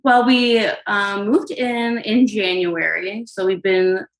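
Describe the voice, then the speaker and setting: A woman in her mid twenties calling in, her voice sitting at a middling pitch inside a roomy, echoing space. She explains herself haltingly, piling on fillers as she works through what bothers her. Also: fairly even intonation. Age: 20s. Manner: hesitant, lots of fillers, explaining her side. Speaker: caller. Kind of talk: casual conversation.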